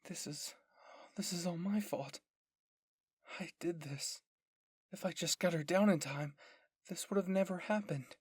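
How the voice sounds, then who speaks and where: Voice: mid-range voice, unhurried, pauses often, slightly rough, dry recording, pitched above the performer's own voice. Performer voicing a desperate, guilt-ridden young woman soldier. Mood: guilty, panicked.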